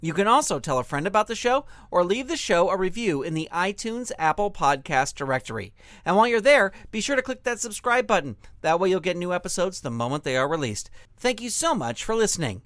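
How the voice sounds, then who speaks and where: A man's voice: high voice, very animated, fairly quick, fairly bright tone, no room sound, clean studio sound. A man reading scripted show credits as an announcer, in an upbeat style.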